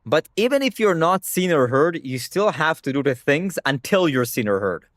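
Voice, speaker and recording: A man's voice: a little high, big pitch swings, normal speed, no echo, studio quality. A young man whose first language is Hebrew, talking bluntly to his stream chat and swearing freely. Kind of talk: casual livestream rant.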